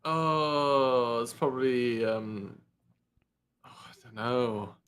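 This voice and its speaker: A man's voice: mid-range voice, very slow, fairly bright tone. Hesitant man chatting casually. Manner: hesitant, thinking aloud. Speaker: audio drama voice actor on a panel. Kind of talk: casual chat.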